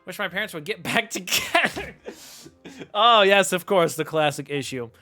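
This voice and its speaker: A man's voice: high voice, lively intonation, normal speed, bright, forceful tone. A man in his twenties giving casual, slangy live game commentary in a reactive, jokey manner. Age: 20s. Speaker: game streamer. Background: faint game music.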